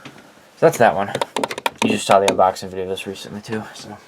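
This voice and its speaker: A man's voice: lowish voice, flat delivery, fairly quick, very noisy. A man casually and informally presenting his collection in a rambling, unscripted vlog.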